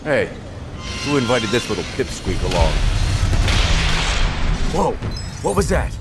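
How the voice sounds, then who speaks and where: Animated cocky wisecracking man. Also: mid-range voice, a little slow. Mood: mocking. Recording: loud music behind.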